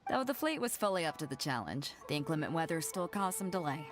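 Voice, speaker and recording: A woman's voice: low voice, very animated, quick pace. A woman voicing a bold, confident sea captain in a video game, assertive and breezy with a teasing edge. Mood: assured. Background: game music.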